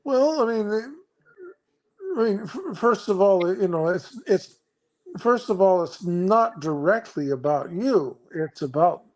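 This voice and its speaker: A man with a somewhat high voice explains scripture in a conversation. He talks earnestly but haltingly, circling back and restarting his sentences as he works through his point. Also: animated, a little mellow. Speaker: guest.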